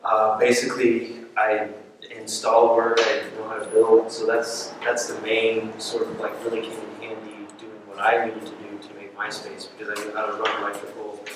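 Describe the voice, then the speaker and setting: A man answers as a panelist in a plain, hesitant way, full of ums and uhs. His voice is low and flat. He sounds distant in a very echoey room, with clear noise underneath. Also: moderate pace. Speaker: artist and frame shop owner, panelist. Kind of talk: casual panel talk. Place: large event hall.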